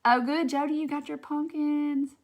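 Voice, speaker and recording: A woman's voice: high-pitched, fairly even intonation, moderate pace. A woman with a Southern US accent hosting a casual craft live stream, chatting folksily with viewers and reading their comments by name.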